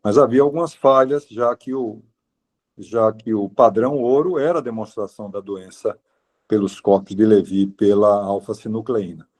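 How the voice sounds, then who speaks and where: A man moderating an online lecture and introducing the speaker over a video call. He speaks in halting phrases and often restarts. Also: on the low side, fairly even intonation, darkish tone.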